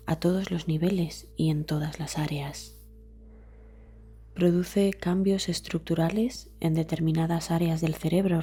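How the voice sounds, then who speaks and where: Female narrator for guided meditation. Mellow, dark, husky and soft, calm scripted delivery with gentle trailing phrases. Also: on the low side.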